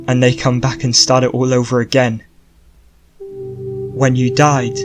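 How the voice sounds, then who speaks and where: Male reader voicing a solemn sorceress explaining a curse, slow and measured, soft music under. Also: mid-range voice.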